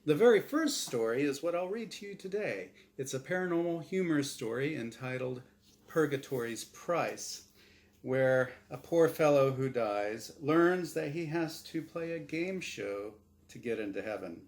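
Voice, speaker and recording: A man's voice: medium pitch, lively intonation, a little slow. A man reading his own fiction aloud as a dramatic scripted narration. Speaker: author reading his own short story.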